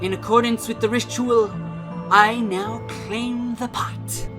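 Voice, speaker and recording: A woman's voice: a little high, steady tone, slow. A woman playing a coldly mocking, vengeful masked villain in a dramatic video game monologue reading. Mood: cold, ominous. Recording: music behind the voice.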